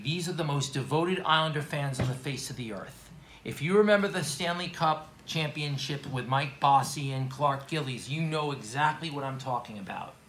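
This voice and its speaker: A man's voice: fairly high pitch, fairly bright tone, slight background noise. A middle-aged man talking sports as a guest, earnest and declarative, laying out his points one by one into a handheld mic in a small restaurant. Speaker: guest co-host. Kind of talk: casual sports talk show. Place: small restaurant dining room, seated at a table. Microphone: handheld microphone. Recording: echoey room.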